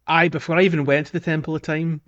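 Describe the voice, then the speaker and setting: A man's voice: a little high, flat delivery, quick pace, a bit bright. Hesitant man podcasting in dull lo-fi sound. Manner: hesitant, self-correcting phrasing. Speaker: podcast co-host. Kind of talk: casual gaming discussion.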